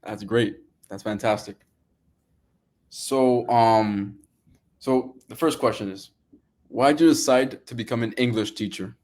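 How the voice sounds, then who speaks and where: A quiet young man hosting a casual podcast, asking questions in a hesitant, brief way. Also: medium pitch, measured pace, pauses often. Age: teenager or young adult. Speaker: podcast host, student.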